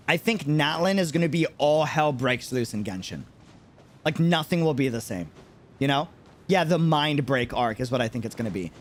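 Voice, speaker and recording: A man streaming in a casual chat with viewers, talking animatedly and repeating phrases for emphasis. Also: on the high side. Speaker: streamer and content creator.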